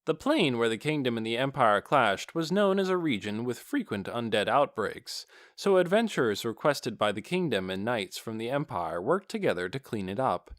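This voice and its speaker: A man's voice: mid-range voice, big pitch swings, moderate pace, hardly any pauses, no echo, clean studio sound. A man reading scripted fantasy fiction as an audiobook narrator.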